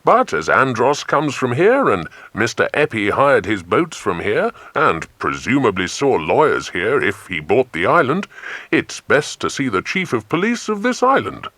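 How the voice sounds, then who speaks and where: A man voicing a hearty, breezy British adventurer with a brisk, jolly manner in a children's audiobook. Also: medium pitch, wildly swooping pitch, fairly bright tone, dry recording, pitched above the performer's own voice. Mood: amused, practical.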